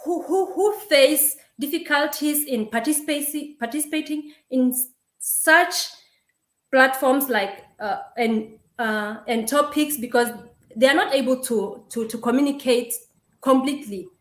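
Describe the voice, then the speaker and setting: A young woman from Lesotho whose first language is Sesotho, giving a halting webinar presentation over a video call, with many fillers and repeated words. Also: sits high. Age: young adult. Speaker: webinar speaker. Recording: roomy video call audio.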